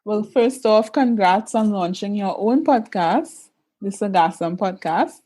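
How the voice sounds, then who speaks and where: A woman's voice: mid-range voice, expressive, measured pace, mellow. A young woman from the Caribbean hosting a podcast, reading her introductions in a formal, polished way and interviewing a guest. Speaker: podcast host.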